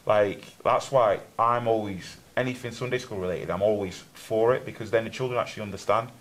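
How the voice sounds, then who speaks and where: A man's voice: fairly low pitch, fairly quick, low-quality recording. A young man from Germany interviewing churchgoers in a loose, rambling way, restarting his questions often.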